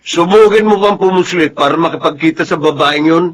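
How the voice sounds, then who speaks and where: An actor playing a stern, teasing young soldier in a Filipino radio drama, with a Filipino accent and a bossy, needling manner. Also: male voice, fairly high pitch, a little fast, natural voice, rough recording.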